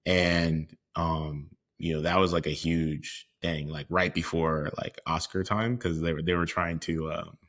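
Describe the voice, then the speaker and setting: A man with a very deep, low voice, co-hosting a podcast. He speaks earnestly and reflectively, pausing on "uh" and "um" as he works through his thoughts. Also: steady tone, fairly bright tone. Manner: earnest and reflective, with frequent hesitations. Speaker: podcast co-host. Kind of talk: casual conversational chat.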